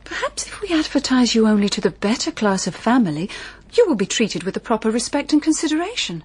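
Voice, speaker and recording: Husky, spirited English mother. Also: a little high, sing-song, fast. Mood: encouraging. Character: brisk, cheerful, encouraging middle-aged English mother. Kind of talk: period audio drama acting.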